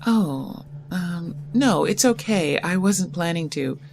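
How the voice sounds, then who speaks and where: A shy, halting young poet. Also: female voice, fairly low pitch, expressive, noisy background. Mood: awkward. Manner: hesitant, halting, self-conscious. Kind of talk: audio drama acting. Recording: music score clearly under the voice.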